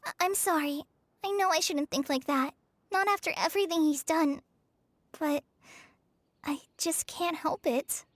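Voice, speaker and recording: A woman voicing an earnest, soft-hearted young girl in a fantasy game, speaking hesitantly. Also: sits high, a little slow, long pauses. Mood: troubled, remorseful. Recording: game dialogue over background music.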